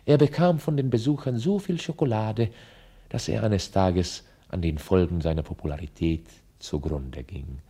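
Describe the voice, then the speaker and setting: A man narrating a scripted radio drama with dry, measured irony. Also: sits low, dark tone, breathy edge.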